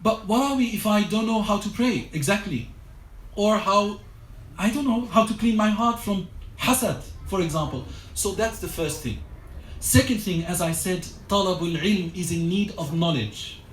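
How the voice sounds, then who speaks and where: Man, high pitch, earnest religious teacher, roomy echo; a lecture circle talk. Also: normal speed. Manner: earnest, exhortative, with frequent restarts. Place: lecture room.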